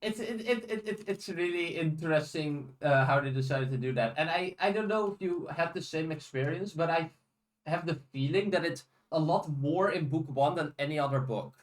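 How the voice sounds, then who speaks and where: Higher-voiced man chatting in an echoey room. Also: normal speed. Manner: hesitant, repeats words as he starts sentences. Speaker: podcast co-host. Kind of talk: casual discussion of audiobooks.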